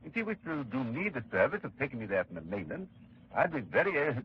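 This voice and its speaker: An actor playing an affable, proper gentleman official with polite, formal speech in a 1930s radio drama. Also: medium pitch, very animated, fast talker, natural voice. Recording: dull, noisy 1930s radio recording.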